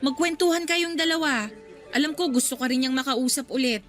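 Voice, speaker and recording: A woman's voice: sits high, brisk, fairly bright tone. A woman with a Filipino accent playing a polite, evasive working goddaughter in a radio drama, respectful but defensive and pleading. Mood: uneasy, pleading.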